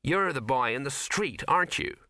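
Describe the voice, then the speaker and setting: A man voicing a fed-up young actress who complains loudly and petulantly. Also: medium pitch, lively intonation, normal speed, no room sound, studio quality. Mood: curious.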